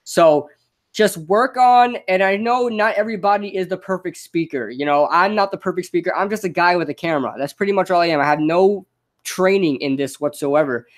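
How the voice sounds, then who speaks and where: A young man, a podcast host with a slight stutter, giving rambling, unscripted advice that is full of quick restarts. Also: high-pitched. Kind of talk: casual unscripted advice monologue.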